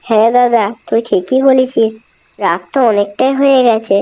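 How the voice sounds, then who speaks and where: Woman voicing a high, mellow, chatty young sibling. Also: normal speed, natural voice. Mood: worried. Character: chatty, worried younger sibling lost after a village fair. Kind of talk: amateur animated horror story dialogue. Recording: music behind.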